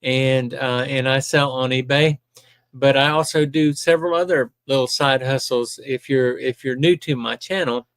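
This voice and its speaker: A man's voice: medium pitch, steady tone, moderate pace, clear, resonant tone, no echo. An older retired man from Alabama with a Southern accent, hosting a live stream and chatting casually as he reads viewer comments aloud with plenty of ums.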